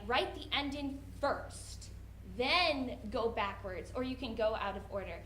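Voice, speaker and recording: A young woman writer answering audience questions off the cuff, often halting and restarting her thoughts. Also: on the high side, fairly bright tone. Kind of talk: unscripted question-and-answer talk after a reading. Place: indoor room at a college event. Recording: roomy echo, faint background noise.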